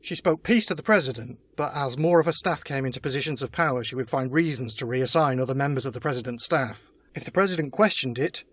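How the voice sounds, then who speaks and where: An actor playing an earnest resistance member in an audio drama, explaining things in a measured way. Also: male voice, medium pitch, dry recording, natural voice. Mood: earnest, explanatory. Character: earnest male resistance member, former presidential assistant. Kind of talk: full-cast audio drama acting.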